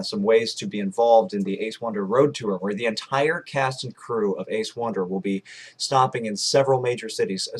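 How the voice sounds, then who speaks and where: Male, 20s, somewhat low register, casual and wordy film-director host. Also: breathy edge. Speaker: film director hosting a video blog. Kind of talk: casual interview and promotional chat. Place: furnished room at home, indoors.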